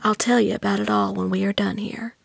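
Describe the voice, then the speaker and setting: A protective, calm aunt in a dramatized audiobook. Her whisper is hushed and crackles with fry as she gently guides a child. Soft music plays behind her. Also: mid-range voice, a little fast. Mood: reverent, hushed. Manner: hushed whispering with folksy endearments.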